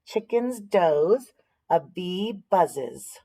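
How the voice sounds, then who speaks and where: A woman's voice: lowish voice, lively intonation, extremely slow, warm tone. An American woman reading a picture book aloud expressively, with explanatory asides and questions for young listeners.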